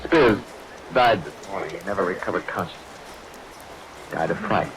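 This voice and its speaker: A man's voice: low voice, lively intonation, moderate pace. An actor playing a somber man giving grim news tersely, in vintage radio drama style. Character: somber man grimly reporting a tragedy. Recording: noisy vintage radio recording, rain behind.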